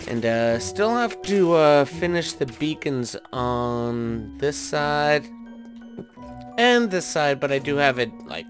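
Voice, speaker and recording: A man's voice: a little high, big pitch swings, slow, faint music behind. A man hosting a gaming video, giving casual commentary and thinking aloud in an informal way as he plays. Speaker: host of a gaming video series.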